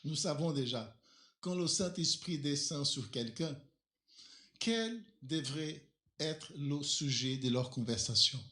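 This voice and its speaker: A male pastor preaching a sermon in a church, a native Portuguese speaker who asks rhetorical questions and leaps up and down in pitch as he drives his points home. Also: slow pace, bright, forceful tone. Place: church hall. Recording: echoey church hall.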